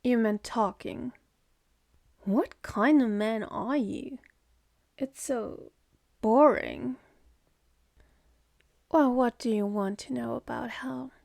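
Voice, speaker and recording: Casting: woman playing a jaded demoness for ASMR roleplay, very slow and sardonic, creaky voice slipping into whispers. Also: fairly high pitch, a little mellow, dry recording, studio quality. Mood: sardonic, teasing. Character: jaded, seductive, scornful female demon born in hell.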